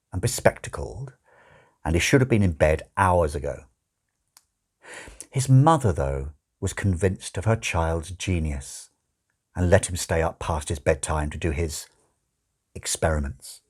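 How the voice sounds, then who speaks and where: A man narrating a story as an audiobook reader, with a wry, measured and expressive delivery. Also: sits low, husky.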